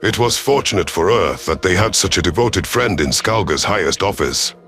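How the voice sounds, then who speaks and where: A man's voice: deep voice, animated, normal speed, sharp tone, soft background music. A man reading a first-person science fiction story as dramatic scripted narration, in the part of a grave alien commander. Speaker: narrator of a sci-fi audio story.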